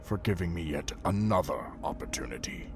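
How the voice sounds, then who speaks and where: A man voicing a stern, wry old alien Jedi master in a comic dub, speaking formally and deliberately over music. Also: low voice, lively intonation, noisy background. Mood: wry, commanding. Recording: loud music under the voice.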